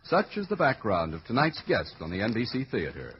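Muffled vintage American radio announcer, very animated man. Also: lowish voice, slight background noise. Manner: formal, dramatic, grandly measured.

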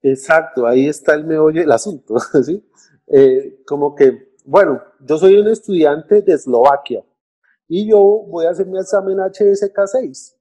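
A man, co-hosting a casual talk about language teaching, halting with restarts and fillers but getting lively when he argues. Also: on the high side, rough recording. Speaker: co-host discussing language teaching. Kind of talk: casual podcast chat.

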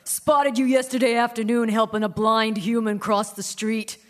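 A woman performing a comic staged reading as a smitten dog, sincere and deadpan, tender yet blunt. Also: on the high side, moderate pace, close to an everyday voice.

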